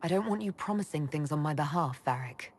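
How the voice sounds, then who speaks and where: An actress playing a resolute young female elf leader in a video game, speaking in a firm, measured manner. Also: low-pitched, expressive, slightly rough. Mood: serious, questioning. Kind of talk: video game cutscene acting.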